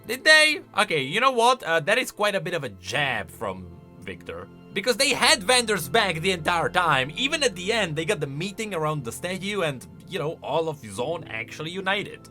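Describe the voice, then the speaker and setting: Male video host, slightly high bright voice, casual commentary, expressive, faint music behind. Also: normal speed, hardly any pauses.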